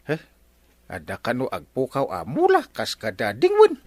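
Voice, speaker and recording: A man's voice: medium pitch, moderate pace, no room sound. A man playing a blustery, comic older village captain with an Ilocano accent, full of exclamations in a radio comedy drama. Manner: animated, exclamatory.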